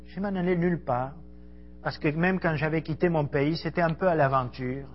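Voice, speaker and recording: A man's voice: a little high, normal speed, highs cut off, a little hiss or hum. A middle-aged man from Argentina, a missionary preacher giving an earnest, conversational sermon in a church hall and speaking to the audience directly. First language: Spanish. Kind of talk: sermon to a congregation.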